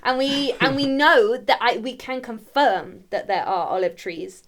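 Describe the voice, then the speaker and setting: A woman's voice: a little high, measured pace. A woman co-hosting a casual chat about a folk song, cheerful and giggly in conversation. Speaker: co-host, musician.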